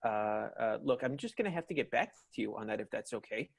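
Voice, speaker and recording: An actor playing a flustered, evasive boss who stammers and hedges in an improvised radio comedy. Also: male voice, medium pitch, expressive, gravelly, husky. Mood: nervous, evasive. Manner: halting, stammering, hedging. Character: flustered, evasive male 1920s boss stalling nervously.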